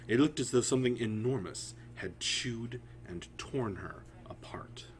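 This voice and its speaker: A man hosting a storytelling livestream, speaking haltingly with frequent pauses. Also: medium pitch. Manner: halting, pausing often. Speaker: writer, editor and stream host. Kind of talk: casual livestream chat and story reading. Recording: audible background hum and noise.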